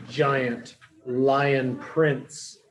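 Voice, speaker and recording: A man reads a storybook aloud for small children. His pace is slow, with deliberate pauses. He explains in short, simple phrases, like a teacher. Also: medium pitch, flat delivery, a bit bright, slight background noise. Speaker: children's storybook reader, early education teacher.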